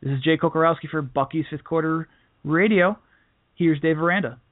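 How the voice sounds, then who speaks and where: A man's voice: on the high side, fairly quick, slight background noise. Male sports podcaster on phone-like audio. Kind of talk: casual solo game preview commentary.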